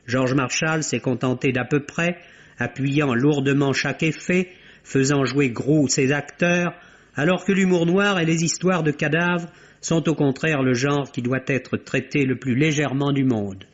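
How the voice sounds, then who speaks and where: A man gives a scripted radio film review in an articulate, literary and measured way, on a vintage radio recording. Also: a little high, steady tone, dull audio. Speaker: film critic.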